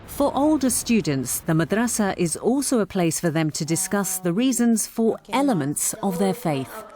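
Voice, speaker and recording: A woman giving measured documentary narration. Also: mid-range voice, big pitch swings, mellow. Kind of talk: scripted narration.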